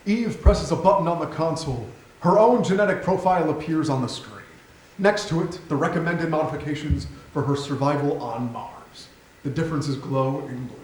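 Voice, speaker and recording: A man with a slightly high voice narrates scripted stage directions. He sounds like a reader at a live play reading. The room is echoey, with a faint layer of noise. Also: normal speed, darkish tone. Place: theatre stage. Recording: roomy theatre recording with faint noise.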